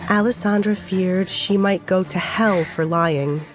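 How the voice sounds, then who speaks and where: A woman's voice: medium pitch, unhurried, soft background music. A woman reading scripted, dramatic story narration as the narrator of an audio fiction series.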